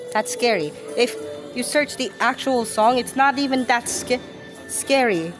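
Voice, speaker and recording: A woman giving casual reaction commentary, speaking haltingly and often repeating and stumbling over her phrases. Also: fairly high pitch. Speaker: reaction video host.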